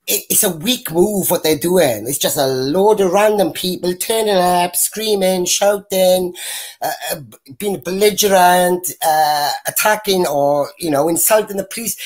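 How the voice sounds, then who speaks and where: Woman, deep voice, blunt rambling opinions, video call. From Wales. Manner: blunt, rambling, mimics others when quoting. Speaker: panel guest. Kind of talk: casual opinionated chat.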